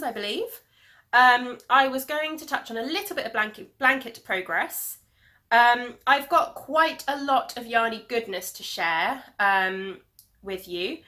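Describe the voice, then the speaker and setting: Bright-voiced chatty woman. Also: on the high side. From England. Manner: rambling, chatty, many ums. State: sniffly from hay fever. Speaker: knitting podcast host. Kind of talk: casual video podcast chat. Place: home.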